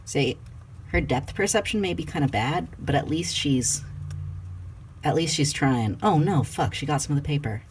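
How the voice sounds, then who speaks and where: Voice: mid-range voice, animated, normal speed, a little hiss or hum. A vlogger chatting casually to camera at home, playful and sweary, cooing like a pet owner. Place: indoors at home.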